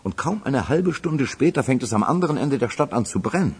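A man's voice: medium pitch, big pitch swings, brisk, a little mellow, slightly rough, exaggerated voice. An actor playing a dutiful male underling in a German radio crime drama, reporting to his boss in a matter-of-fact, deferential way. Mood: factual.

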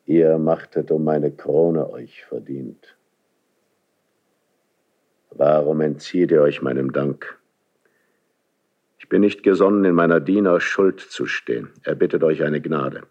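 Slow, very deep, grave king. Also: highs cut off, exaggerated voice. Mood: regal, probing. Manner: measured, weighty, imperious delivery with long pauses. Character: grave, proud, solitary ageing king of Spain. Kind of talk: classical German stage verse radio drama.

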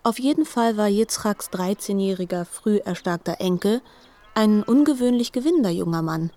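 A woman's voice: mid-range voice, lively intonation, moderate pace, warm tone. A woman narrating a literary radio drama as an articulate storyteller.

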